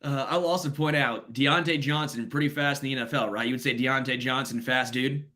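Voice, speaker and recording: A man from the Midwest hosting a sports podcast, teasing and animated in casual chat. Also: brisk. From Kansas City, US Midwest. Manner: teasing, animated, jumps up in pitch when joking. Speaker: podcast host.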